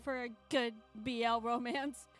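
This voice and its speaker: A woman with a fairly high voice hosts a livestream, chatting casually and reading visual novel narration aloud with animation. Game music plays behind her voice. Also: flat delivery, measured pace. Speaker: streamer.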